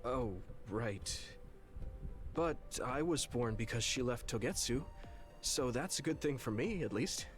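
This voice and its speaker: A man's voice: medium pitch, animated, a little slow, natural voice. A man playing a friendly, open-hearted young male hero in a video game, with a sincere, eager delivery. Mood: curious, apologetic. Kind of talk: English video game cutscene voice acting. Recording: game audio with background music.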